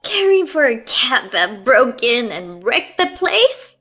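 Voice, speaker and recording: A woman voicing a haughty, scornful older mother-in-law who scolds in a shrill, sneering way. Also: big pitch swings, takes it slowly, bright, forceful tone, faint music behind, heavily acted.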